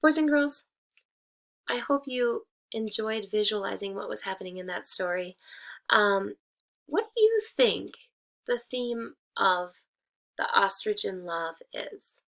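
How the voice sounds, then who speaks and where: Woman teacher with a creaky voice, reading aloud. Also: medium pitch, very animated, fairly bright tone. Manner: deliberate, addressing young children. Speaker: elementary school teacher. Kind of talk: read-aloud lesson for children.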